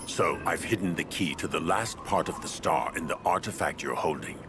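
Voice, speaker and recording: Very deep-voiced craftsman. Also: moderate pace, background noise. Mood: grave. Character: male craftsman inventor, knowing and grave. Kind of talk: video game cutscene acting.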